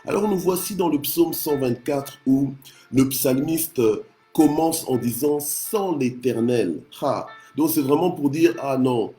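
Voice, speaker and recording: Mellow-voiced male preacher from Congo. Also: fairly high pitch, measured pace, soft background music. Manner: punctuates speech with short exclamations of praise. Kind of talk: devotional sermon on scripture.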